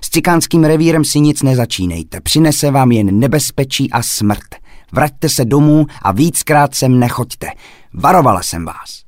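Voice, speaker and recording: A man voicing a fierce old female fortune-teller who gives slow, insistent, ominous warnings. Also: mid-range voice, lively intonation, no echo, clean studio sound, voice pushed up, natural voice. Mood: menacing. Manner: menacing, insistent warnings, drawn-out slow phrases.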